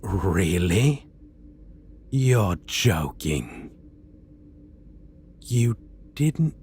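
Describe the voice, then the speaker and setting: A man's voice: low voice, sing-song, drawn-out words, a little mellow, a little hiss or hum, full character voice. A man playing a theatrical, suave and condescending costumed supervillain in an ASMR roleplay. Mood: incredulous. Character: theatrical, stately, amused supervillain in costume interviewing a minion.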